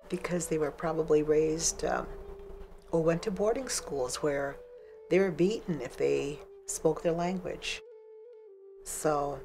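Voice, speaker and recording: An older woman speaking thoughtfully in an interview, pausing often as she chooses her words. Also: deep voice. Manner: thoughtful, hesitant with many pauses. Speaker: interviewee, language advocate. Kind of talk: reflective interview. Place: living room, indoors.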